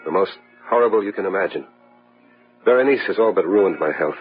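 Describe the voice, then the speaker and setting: A man's voice: sits low, expressive, a little fast. A man playing a tormented, resentful husband, grave and overwrought, in an old gothic radio drama. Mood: bitter, brooding. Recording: dull vintage radio recording, music behind.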